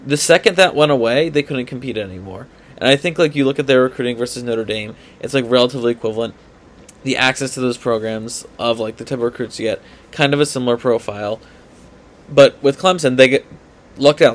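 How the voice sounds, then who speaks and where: A man's voice: medium pitch, fast. A man co-hosting a sports podcast in casual debate, often hedging and repeating his points for emphasis.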